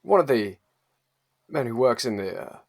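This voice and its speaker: Male actor as hospital radiologist, husky mid-pitched voice, halting deliberate storytelling, audio drama monologue. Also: lively intonation. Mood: musing.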